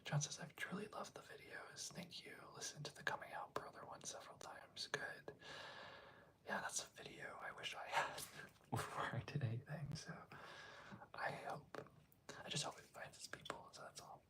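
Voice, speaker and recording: An ASMR livestream host chatting to viewers in a soft whisper, rambling affectionately and drifting between thoughts and the chat. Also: mid-range voice, expressive, moderate pace.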